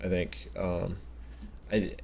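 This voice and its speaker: A man with a fairly low voice hosts a casual gaming news podcast. His delivery is unscripted and a little hesitant, wandering into asides as he explains things. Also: flat delivery, slow, creaky, darkish tone. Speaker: podcast host.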